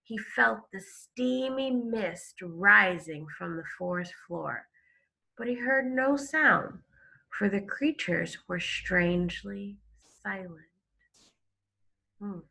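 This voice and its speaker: A woman teacher reading a story aloud to children, expressive and stopping to explain it. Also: mid-range voice, slow pace, vocal fry, slightly rough, low-quality recording. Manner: expressive, explains to listeners with questions and gasps. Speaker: elementary school teacher.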